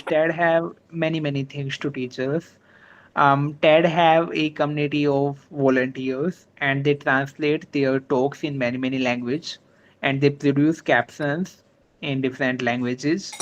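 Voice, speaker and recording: Casting note: man on a video call whose first language is Hindi, halting and deferential, slow delivery. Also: on the high side, lo-fi sound. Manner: hesitant, many fillers, polite. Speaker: meeting organizer. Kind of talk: online meeting discussion.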